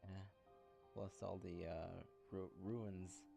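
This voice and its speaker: A woman's voice: very deep voice, fairly even intonation, takes it slowly, gravelly. A woman streamer casually reading game dialogue and narration aloud as she plays. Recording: loud game music behind voice. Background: game music.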